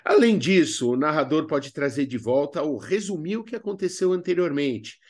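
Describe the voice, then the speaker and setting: Animated Brazilian man teaching. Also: fairly high pitch, normal speed. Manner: didactic, expressive, colloquial asides. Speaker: drama teacher presenting a video lesson. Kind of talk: instructional narration with acted examples.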